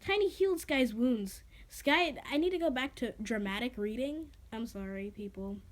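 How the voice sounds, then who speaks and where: High-voiced woman dramatically reading a story. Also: expressive, moderate pace. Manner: playful, theatrical, self-mocking. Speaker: reader of own creative writing. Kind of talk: dramatic reading of an amateur roleplay story with joking asides.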